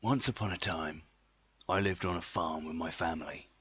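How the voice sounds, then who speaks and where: A man playing a weathered older rural survivor, husky, telling his story gravely and wistfully. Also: low voice, moderate pace, pushed and bright, no echo, pitched below the performer's own voice, exaggerated voice. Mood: grim nostalgia.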